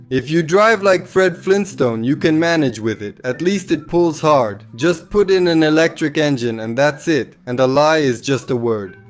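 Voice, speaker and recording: A hoarse man hosting a comedy show and reading viewer comments with quick, jokey asides. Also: a little high.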